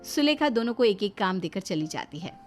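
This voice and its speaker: A woman's voice: medium pitch, sing-song, normal speed, soft background music. A woman narrator reading a scripted family story.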